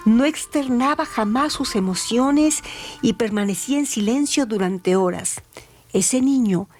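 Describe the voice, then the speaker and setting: A woman narrating a scripted dramatic radio story in a theatrical, deliberate way. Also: on the high side, a little mellow. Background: music bed.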